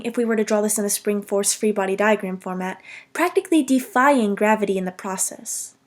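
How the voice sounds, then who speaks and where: A young woman narrating a scripted, humorous video game commentary in a self-deprecating, mock-dramatic way. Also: on the high side.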